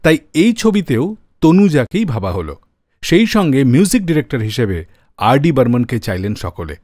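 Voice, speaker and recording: Animated male radio storyteller. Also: mid-range voice, moderate pace, no echo, clean studio sound. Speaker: radio show host. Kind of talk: scripted storytelling radio narration.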